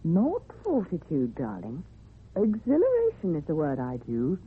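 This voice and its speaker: An actress playing a thoughtful, affectionate wife with a husky voice in a radio drama. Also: female voice, low-pitched, wildly swooping pitch, a little slow, slight background noise, full character voice. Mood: thoughtful, intrigued. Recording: muffled, narrow old radio recording.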